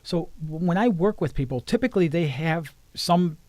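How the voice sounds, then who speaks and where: A man's voice: a little high, expressive, normal speed, a little mellow, no room sound. Earnest man coach with a dry sound. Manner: earnest, repeats words when starting sentences. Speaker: life coach, interview guest. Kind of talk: casual interview conversation.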